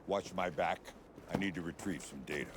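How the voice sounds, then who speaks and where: An actor playing a gruff, terse middle-aged special agent with a deep, gravelly voice in a video game cutscene. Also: male voice, brisk, lightly acted. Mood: terse, guarded. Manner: terse, commanding. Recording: loud hiss and rain noise.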